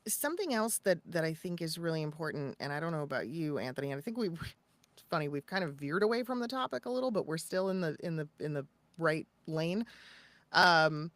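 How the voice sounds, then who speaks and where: A woman's voice: deep voice, sing-song, brisk, fairly bright tone, dry recording. A woman co-hosting a casual advice chat, talking in a conversational, emphatic way and acting out example replies. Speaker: podcast co-host and voice-over coach.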